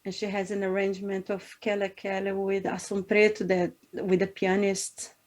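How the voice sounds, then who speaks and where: A woman from Brazil speaks, at a middle pitch. She reflects aloud in an interview, trailing off and restarting, often breaking into small laughs. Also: completely flat delivery, normal speed. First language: Portuguese. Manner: thoughtful, searching for words with frequent restarts and laughs. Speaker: singer. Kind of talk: interview conversation.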